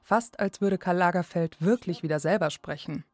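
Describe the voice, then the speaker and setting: A woman's voice: on the low side, normal speed. A woman reporter reading scripted narration for a radio feature.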